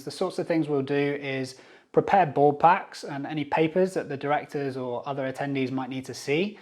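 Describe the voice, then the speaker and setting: A man with a British accent from Jersey in the Channel Islands, presenting an informal, structured explainer as a video host. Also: a little high. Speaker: YouTube host and company secretary. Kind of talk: scripted explainer to camera.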